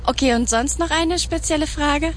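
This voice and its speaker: A woman's voice: high-pitched, normal speed, slight background noise. A woman working as a radio street reporter, putting survey questions to passers-by. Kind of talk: vox pop questioning.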